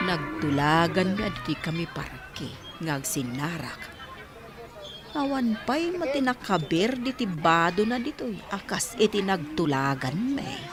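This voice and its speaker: A woman's voice: lowish voice, expressive, slow, over music. An actress playing a flustered village woman in a comedy radio drama, with an Ilocano accent. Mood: dismayed.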